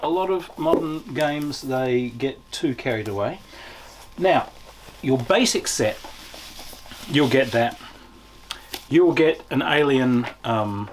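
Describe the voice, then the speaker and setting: A man talks through a product review in a casual, off-the-cuff way. His pitch swings widely as he stresses and stretches words with enthusiasm. There is faint noise behind his mid-pitched voice. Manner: enthusiastic, emphatic with drawn-out words. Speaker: tabletop game reviewer.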